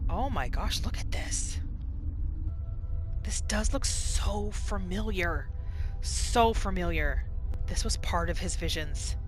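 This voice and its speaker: A woman hosting a gaming channel, giving expressive roleplay commentary and reacting aloud as she plays. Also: fairly low pitch, slow pace, pauses often, music in the background. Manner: expressive, reacts aloud, talks to the game characters. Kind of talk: video game roleplay commentary.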